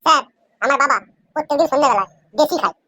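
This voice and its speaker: A woman's voice: high voice, animated, racing, sharp tone, a little hiss or hum. A woman voicing a cheeky, cocky schoolboy in a comic cartoon. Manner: cheeky, insistent. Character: cheeky, cocky schoolboy prankster with absurd answers.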